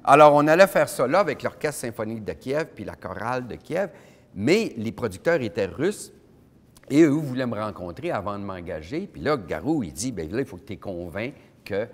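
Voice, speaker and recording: A middle-aged man with a Québécois French accent giving a lively conference talk, telling anecdotes with punchy sound words. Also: mid-range voice, normal speed. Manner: animated storyteller with sound words. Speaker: keynote speaker. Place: event hall at a winery. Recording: long reverb of a hall.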